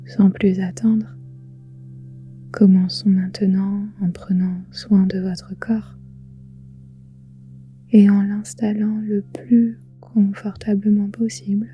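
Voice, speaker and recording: A woman guiding a relaxation meditation in a soft, breathy, whispery voice, soothing, with gentle pauses. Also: medium pitch, level tone, muffled recording. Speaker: yoga nidra guide. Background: soft music with singing bowls.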